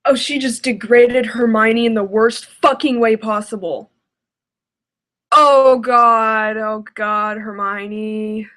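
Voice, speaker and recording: A woman's voice: fairly high pitch, fairly even intonation, slow, fairly bright tone. A woman giving casual, exasperated reaction commentary, sounding dismayed and given to sighing.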